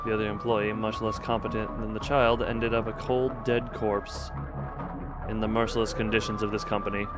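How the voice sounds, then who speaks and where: Casting: male streamer as solemn, remorseful storyteller for a dark game tale, music clearly underneath. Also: lowish voice, flat delivery, normal speed, voice pushed down, lightly acted. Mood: grave, remorseful. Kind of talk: dramatic narration of game story text.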